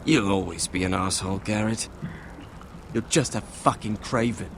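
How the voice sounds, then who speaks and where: An actor playing a bitter, abrasive young recruit of a fantasy night watch in a video game, quick to swear and accuse. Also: male voice, lowish voice, gravelly, slight background noise. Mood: scornful.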